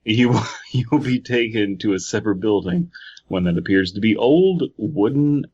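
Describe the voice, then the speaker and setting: A man's voice: fairly low pitch, animated, moderate pace, warm tone, husky, muffled recording. A man co-hosting a comedy podcast, reading stories aloud in a mock-ominous way and joking crudely and playfully. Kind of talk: joking group read-along with mock-ominous narration.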